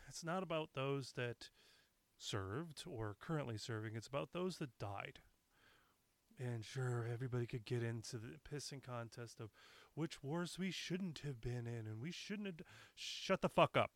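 A man hosting a solo podcast, his voice raspy as he gets over being sick, talking bluntly and with a lot of swearing. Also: medium pitch, moderate pace, dry recording. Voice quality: raspy from recent illness. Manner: blunt, profane, impassioned. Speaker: podcast host.

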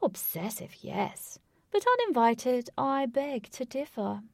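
A woman voicing a theatrical, delusional male stalker who speaks in a grand, drawn-out way. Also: fairly high pitch, animated, gravelly, dry recording, clean studio sound, pitched above the performer's own voice, heavily acted.

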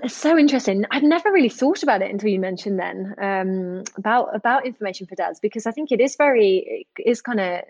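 An Englishwoman in her thirties, a parenting counsellor, chatting in an interview, warm and full of restarts. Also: mid-range voice, expressive, quick pace, hardly any pauses, dry recording. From England. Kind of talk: casual interview chat.

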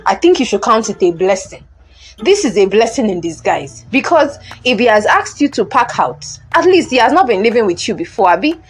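Woman acting a calm, reassuring Nigerian confidante. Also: on the high side, brisk, husky. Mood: firm, reassuring. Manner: soothing, firm, counselling. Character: calm, devout, reassuring older female confidante. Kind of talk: conversational audio drama acting.

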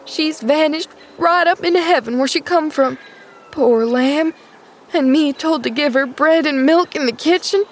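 Lady reader doing a young country maid, high voice, excitable n fierce, bad grammar, storybook style. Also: unhurried. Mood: panicked wailing. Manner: rustic ungrammatical dialect, exclamatory. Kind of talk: audiobook character voice.

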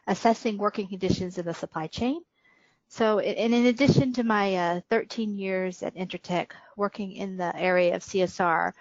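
A woman's voice: fairly low pitch, no echo. Female senior manager as podcast guest, explanatory business interview, close dry sound with slightly muffled audio.

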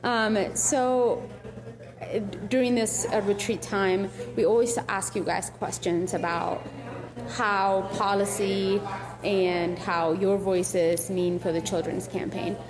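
A woman's voice: medium pitch, steady tone, takes it slowly. A woman interviewing people at a retreat in an upbeat, encouraging way. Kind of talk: casual short interviews. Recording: long reverb with clear music behind.

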